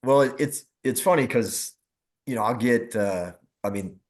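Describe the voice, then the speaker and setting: A man, a former minor league general manager, reminiscing casually as a guest in a halting, wandering, self-qualifying way. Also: mid-range voice.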